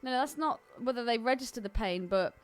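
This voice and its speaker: A woman's voice: a little high, lively intonation, a little fast. A woman with a British accent co-hosting a casual comedic podcast, talking in a blunt, cheeky way. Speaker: podcast co-host.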